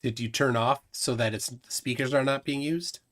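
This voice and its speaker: A man's voice: medium pitch, fairly even intonation, normal speed, fairly bright tone. A man hosting a casual livestream, reading out viewer comments and laughing often. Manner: chatty, reads chat comments aloud, laughs often. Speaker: livestream host.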